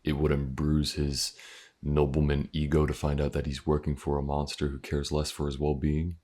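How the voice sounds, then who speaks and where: A man playing a gruff, guarded but protective mercenary in a fantasy audio roleplay, halting and dry in his delivery. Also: monotone, warm tone. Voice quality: very deep gravelly husky.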